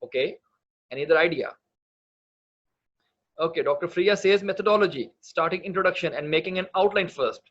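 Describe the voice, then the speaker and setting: A fairly high-voiced man from Pakistan, speaking English as a second language, leads an online lecture. He explains earnestly and pauses often on fillers. The audio through his computer mic sounds slightly dull. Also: fairly bright tone. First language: not English. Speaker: medical writing instructor.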